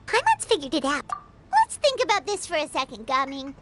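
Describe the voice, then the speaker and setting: A woman voicing a tiny, childlike, excitable fairy companion in a video game, chatty and exclamatory. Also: sits high, fairly quick. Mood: eager, chatty. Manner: speaks of herself in third person, exclamatory. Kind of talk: video game character dialogue. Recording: background music under the voice.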